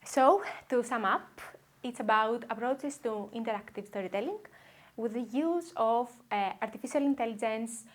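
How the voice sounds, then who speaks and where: A woman's voice: a little high, normal speed, close to an everyday voice. A woman playing an apologetic university student presenting a project in a hesitant manner.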